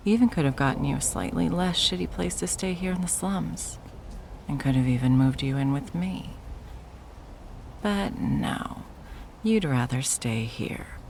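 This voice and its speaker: A woman's voice: rumbling low, creaky, a little hiss or hum, full character voice. A woman playing a wealthy, possessive corporate executive ex-girlfriend in an ASMR roleplay, condescending and sarcastic with a menacing edge. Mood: sardonic, reproachful. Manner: condescending, sarcastic, drawling sweet talk. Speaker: voice actor in audio roleplay. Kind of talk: ASMR roleplay, dramatic monologue.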